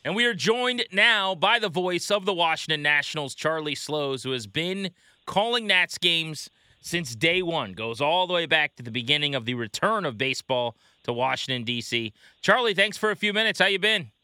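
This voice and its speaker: A man's voice: a little high, lively intonation, normal speed, sharp tone, clear, resonant tone, no room sound. An American man hosting a sports podcast, talking fluently and emphatically as he comments and interviews.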